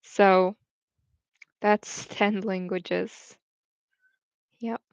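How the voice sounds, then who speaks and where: A woman's voice: mid-range voice, fairly even intonation, extremely slow, vocal fry, clear, resonant tone, no echo. A young woman hosting a casual livestream, chatting and reading out viewer comments, rambling between English and French. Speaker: livestream host. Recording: dull, dry home stream audio.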